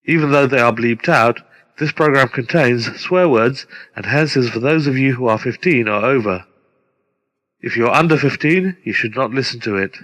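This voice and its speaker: A man with a mid-range voice introduces his own radio play. His delivery is scripted, measured and formal, and it rings with long reverb. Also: medium pitch, a bit bright. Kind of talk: scripted narration. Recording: long reverberant hall sound.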